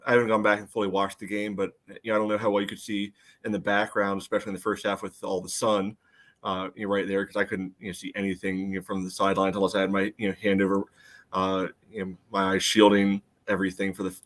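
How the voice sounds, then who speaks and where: A middle-aged man with a fairly low voice talks casually on a soccer podcast. He meanders through his thoughts, padding them with plenty of "you know.". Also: fairly even intonation, fast talker, fairly bright tone. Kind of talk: casual sports chat.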